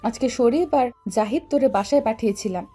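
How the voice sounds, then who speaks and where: A woman's voice: a little high, brisk, warm tone, close to an everyday voice. A woman playing a bossy, hot-tempered, romantic college girl in an audio love story. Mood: scolding, demanding. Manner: demanding, scolding, pleading. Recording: music bed under the voice.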